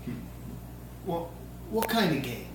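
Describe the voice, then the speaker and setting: A woman's voice: sits low, very animated, takes it slowly, exaggerated voice. A woman playing a plaintive, protesting nine-year-old boy at an amateur script read. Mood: doubtful, sulky. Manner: whiny, protesting. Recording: echoey room, distant mic, hiss.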